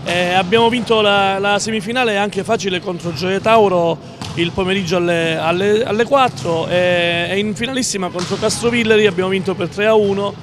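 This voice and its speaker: High-pitched male coach over clear noise. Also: brisk. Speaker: volleyball coach. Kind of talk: TV interview. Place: large indoor sports hall. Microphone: handheld reporter mic. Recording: loud busy gym background noise.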